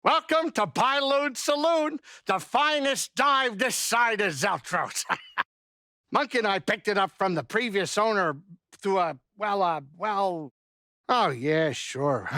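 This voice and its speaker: A man voicing a gruff but caring small alien saloon keeper in a video game, with a blustery, folksy, wisecracking delivery. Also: high-pitched, takes it slowly, bright, forceful tone, dry recording, studio quality, full character voice. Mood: excited, blustery. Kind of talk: video game character dialogue.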